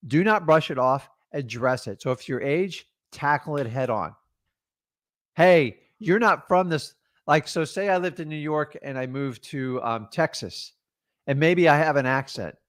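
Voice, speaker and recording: A man's voice: a little high, animated, a little slow, no room sound, studio quality. A middle-aged man coaching on real estate deals, talking in an emphatic, motivational, direct way. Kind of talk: energetic coaching talk.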